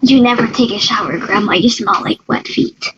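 A woman chatting in an improvised online roleplay, teasing and quick to argue. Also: mid-range voice, crowd noise. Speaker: roleplay player. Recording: muffled audio under game music.

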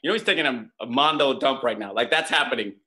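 A man's voice: mid-range voice, fast, bright, forceful tone, lightly acted. A man in his thirties playing a hot-headed, wounded young chef in a naturalistic table read, slangy and exasperated. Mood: agitated, sarcastic. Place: room at home, video call.

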